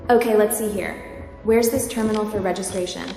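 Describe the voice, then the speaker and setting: A woman's voice: medium pitch, a little fast, creaky, mellow, soft background music, lightly acted. A woman voicing a curious, easygoing female hacker who is joining a space fleet in a roleplay game. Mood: curious, upbeat. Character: curious, upbeat young female hacker turned space recruit.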